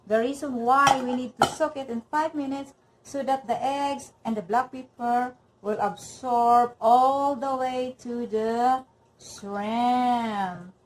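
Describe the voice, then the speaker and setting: Slow Filipino woman in a noisy kitchen. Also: fairly high pitch, steady tone. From Philippines. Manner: chatty, walks through each step, says okay often. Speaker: cooking vlogger. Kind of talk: casual cooking demonstration.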